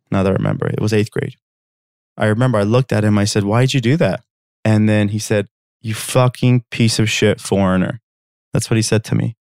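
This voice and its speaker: A man's voice: fairly low pitch, darkish tone, clear, resonant tone, no echo, clean studio sound. A man in his thirties from Armenia, a podcast host telling a personal story in an earnest, direct way and checking in with listeners. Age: 30s.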